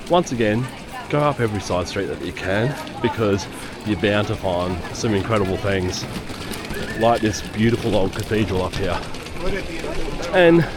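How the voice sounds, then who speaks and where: A man with a hoarse voice from a lingering cough, giving chatty walking-tour commentary on busy city streets. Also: on the low side. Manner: chatty, rambling, with fillers. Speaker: travel vlogger. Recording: outdoor street noise. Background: city street noise and traffic.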